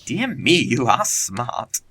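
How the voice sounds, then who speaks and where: Guy playing a flippant young bachelor gent in an audio play, theatrical, bouncy melody, crisp bright voice. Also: medium pitch, very animated, slow, a little hiss or hum, heavily acted. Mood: feigned politeness. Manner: glib, theatrical, formal. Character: flippant, witty young gentleman bachelor, playfully lazy. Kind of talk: audio play comedy of manners.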